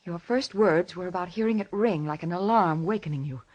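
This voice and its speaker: An actress playing a doctor's anxious, devoted wife in 1940s radio drama, speaking in a fearful, halting, pleading way. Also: female voice, fairly low pitch, a little mellow, lightly acted, rough recording. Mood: worried, uneasy. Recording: old radio drama recording.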